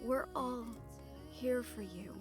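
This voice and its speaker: A woman's voice: on the high side, slow. Woman acting caring, teasing supernatural sweetheart over music. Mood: gentle concern. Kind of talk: fantasy romance audio roleplay acting. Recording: music behind the voice.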